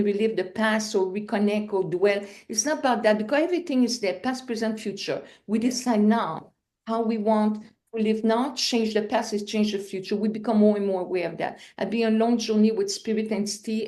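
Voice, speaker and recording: A middle-aged woman from Quebec, a native French speaker with a Quebec French accent, telling spiritual stories in an interview with laughs and acted-out scenes. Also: fairly high pitch. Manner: animated storyteller, acts out scenes with laughs and cries. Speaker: guest.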